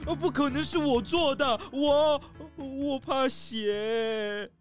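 A woman's voice: sits high, slow pace. A woman voicing a timid, nervous male grizzly bear who stammers with fright in a children's audio drama. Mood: stammering scared. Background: background music.

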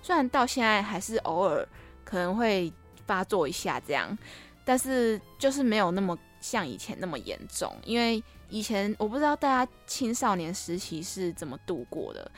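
A young woman hosts a podcast in a casual, chatty monologue. Her pitch is average for a woman and her manner is playful and self-teasing, with faint music underneath. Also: sing-song, normal speed. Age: young adult. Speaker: podcast host.

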